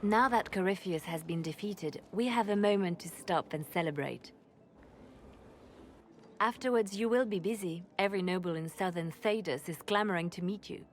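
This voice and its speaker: An actress playing a composed, courtly fantasy advisor, speaking in a measured, formal way. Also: female voice, fairly low pitch, slight background noise, close to an everyday voice. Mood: composed, solemn. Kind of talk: dramatic game dialogue.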